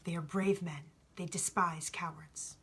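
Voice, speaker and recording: Woman reading as a hushed, wise male alchemist. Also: lowish voice, fairly even intonation, husky. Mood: gentle, reassuring. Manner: hushed, measured, gently instructive. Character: calm, wise, cheeky male alchemist mentor. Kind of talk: storybook read-aloud.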